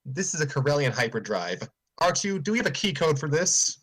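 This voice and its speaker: Animated man reading script dialogue. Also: a little high, a little fast, husky. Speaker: cast member in a group script reading.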